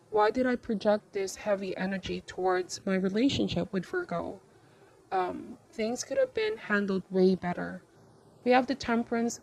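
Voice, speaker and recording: A woman hosting a tarot reading, speaking to viewers in short measured phrases with a reflective, advising manner. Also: medium pitch, a little mellow. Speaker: tarot reader and channel host.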